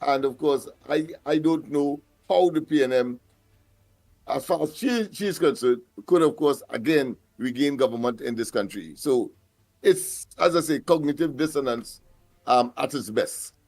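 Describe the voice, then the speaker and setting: A man with a Trinidadian accent giving political commentary as a talk show host, speaking in a wordy, formal, halting and deliberate way. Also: mid-range voice, a little mellow, no echo.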